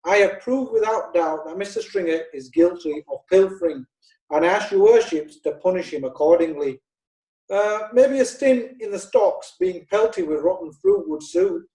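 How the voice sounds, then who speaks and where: An actor playing a prosecutor addressing the court formally. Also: male voice, high voice, normal speed, warm tone, echoey room, recorded from a distance, natural voice, poor audio. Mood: confident. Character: male prosecutor, confident. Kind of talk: amateur audio drama acting.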